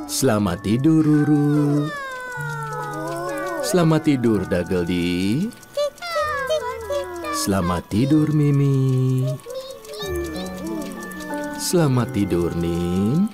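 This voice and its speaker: A man narrating a children's show in gentle, simple, measured phrases. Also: mid-range voice, animated. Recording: music under the voice.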